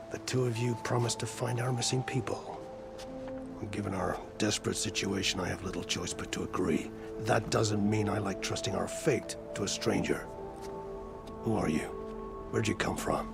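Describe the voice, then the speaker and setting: An actor playing a weary, proud older admiral of a stranded fleet in a video game, speaking in a formal, grave, wry way. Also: male voice, on the low side, measured pace, creaky. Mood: wary, stern. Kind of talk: cinematic video game acting. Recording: music behind the voice.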